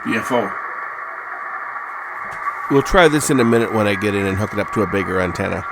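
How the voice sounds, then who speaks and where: A man's voice: fairly low pitch, expressive, a little fast, gravelly. A man giving a casual hands-on gadget demonstration, musing aloud as he tries things out. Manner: musing aloud while trying controls. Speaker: product reviewer. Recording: noisy, radio static and ambience. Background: radio noise.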